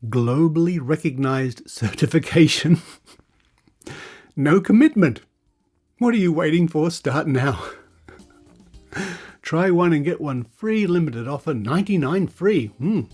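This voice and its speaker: A man's voice: a little high, expressive, a little slow, long pauses, a little mellow, slightly rough, soft background music. A man hosting a podcast, reading out adverts and adding dry, sarcastic asides. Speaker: podcast host.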